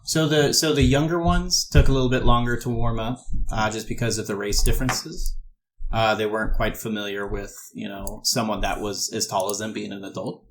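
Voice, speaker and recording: A man with a fairly low voice runs a tabletop role-playing game. He improvises his narration conversationally, explaining the world to his players in an informal way. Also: fairly even intonation, brisk, a little hiss or hum, low-quality recording. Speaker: game master.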